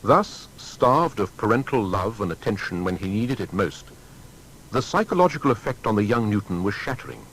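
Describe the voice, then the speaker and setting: A man narrating a scripted biography in a measured, formal and dramatic way. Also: lively intonation, a bit bright, poor audio. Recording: faint background rumble and noise.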